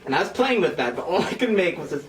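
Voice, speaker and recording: A man's voice: fairly high pitch, very animated, fast. A man in his twenties chatting casually as host, rambling and self-mocking as he explains his own writing. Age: 20s. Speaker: host and scriptwriter presenting old scripts. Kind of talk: casual, giggly group chat. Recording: echoey room, distant mic, background noise.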